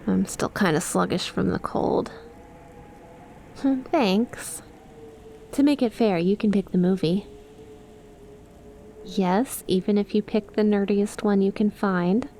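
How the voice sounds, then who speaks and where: A woman's voice: medium pitch, slow pace, pauses often, dark tone, slight background noise. A woman playing an affectionate young snake-girl best friend in an ASMR roleplay, teasing and chummy as she talks to the listener. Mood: upbeat, friendly.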